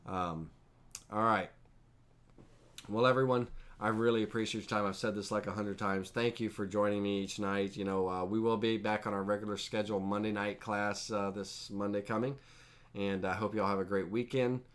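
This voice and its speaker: A man's voice: fairly low pitch, steady tone, moderate pace. Stuffy, nasal man with a head cold. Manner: casual, rambling, talks to chat viewers by name. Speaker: host and instructor. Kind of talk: live-streamed software tutorial.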